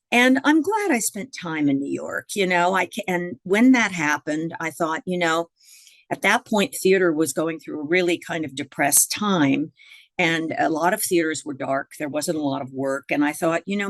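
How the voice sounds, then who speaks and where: A middle-aged woman from Miami, a jazz singer, telling her story in a relaxed interview, chatty with fillers and restarts and frequent laughs. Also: low voice, normal speed, no room sound. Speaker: guest jazz vocalist.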